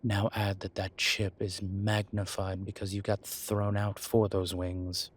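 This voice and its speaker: Deep, husky, slow-talking incubus played by a man. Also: little pitch movement. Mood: measured explaining. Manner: measured, wry, hesitant pauses and sighs. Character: suave, wry male incubus demon professor, evasive. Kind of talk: intimate audio roleplay acting.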